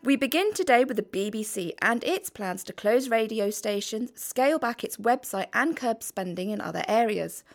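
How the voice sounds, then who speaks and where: A woman hosting a daily news show, presenting stories and asking people quick questions. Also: on the high side, expressive. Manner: brisk, prompting questions. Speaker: podcast host and interviewer. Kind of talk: news presenting and street interviews.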